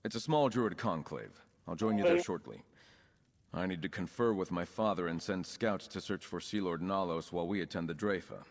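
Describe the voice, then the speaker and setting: An actor playing an earnest, composed young nobleman in a video game, speaking in a formal, measured way. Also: deep voice, animated, long reverb tail. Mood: earnest, assured. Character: young nobleman, count's son with druid leanings, earnest and composed. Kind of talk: scripted video game dialogue.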